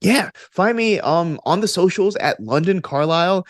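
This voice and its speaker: A man's voice: sits high, lively intonation, moderate pace, no echo. A man speaking as a podcast guest in casual, reflective conversation, earnest and encouraging, often repeating phrases for emphasis. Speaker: podcast guest, actor and game master.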